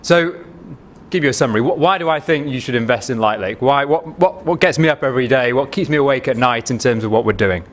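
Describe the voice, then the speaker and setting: A man with a Manchester accent, a company chief giving a chatty investor pitch full of ums and restarts. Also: lively intonation, quick pace. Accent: Manchester English. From England. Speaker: company CEO presenting to investors. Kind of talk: conference pitch presentation. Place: conference room.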